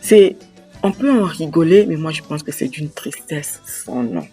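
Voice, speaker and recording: A young man speaks with passionate emphasis. He hammers points home by repeating phrases, and his voice sits fairly high. Faint music runs underneath. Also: expressive, measured pace, mellow, husky. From Republic of the Congo. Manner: emphatic, repeats phrases for weight, building intensity. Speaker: host. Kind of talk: impassioned monologue on language and culture.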